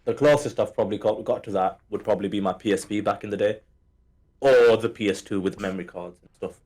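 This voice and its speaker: A man's voice: on the low side, fast, a little mellow. A man from Hong Kong co-hosting a casual gaming podcast, joking and playful. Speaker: podcast co-host.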